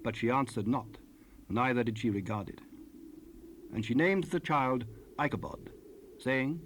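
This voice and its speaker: Medium-pitched male scripture narrator, faint tape noise. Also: animated, a little slow. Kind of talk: dramatized scripture narration, archaic English. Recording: noisy raw cassette rip.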